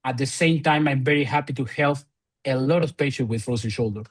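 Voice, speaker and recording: A man from Argentina in his late thirties with an Argentine Spanish accent, a physiotherapist speaking English as a second language with many fillers and restarts in a podcast interview. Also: mid-range voice. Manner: halting non-native English with frequent fillers and restarts. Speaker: physiotherapist and researcher guest.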